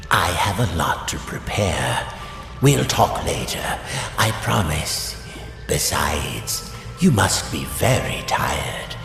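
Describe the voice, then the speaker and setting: An actor playing a smooth-talking, menacing tyrant of a dark world in a video game, theatrical and falsely courteous. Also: male voice, very deep voice, echoey room, in a large hall, heavily acted. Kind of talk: video game villain monologue.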